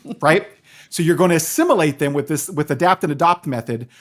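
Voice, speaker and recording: A man's voice: on the high side, expressive, brisk. A man speaking as a guest professor in an interview, explaining things in a professorial way and checking in with listeners.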